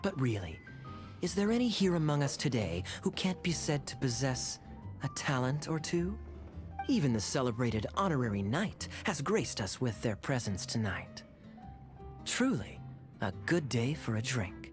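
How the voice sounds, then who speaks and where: A man voicing a smooth, teasing male knight captain in a video game dub. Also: medium pitch, big pitch swings, moderate pace, over music, close to an everyday voice. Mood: wry.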